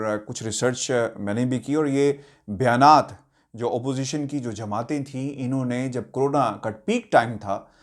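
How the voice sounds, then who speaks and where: A Pakistani man speaks at a medium pitch as a news commentator. He addresses his viewers directly about politics, with deliberate emphasis. His delivery is halting, with hesitations between phrases.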